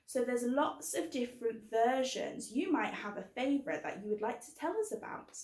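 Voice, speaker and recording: A woman's voice: a little high, expressive, moderate pace, darkish tone. A woman hosting a children's book club, explaining things simply and putting questions to young listeners. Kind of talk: scripted-sounding introduction for young children. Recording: roomy, echoing indoor sound.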